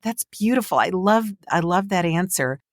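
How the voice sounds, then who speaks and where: A middle-aged woman hosts a casual interview in a distinctly low voice. She is effusive and encouraging with her guest. The sound is dry and close, free of room echo. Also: expressive, moderate pace. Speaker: podcast host.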